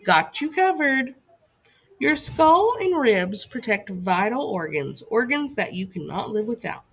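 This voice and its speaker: A woman's voice: on the high side, big pitch swings, a little slow, slightly rough. A woman teacher reading a scripted lesson aloud for young children in an instructive way, putting questions to her listeners.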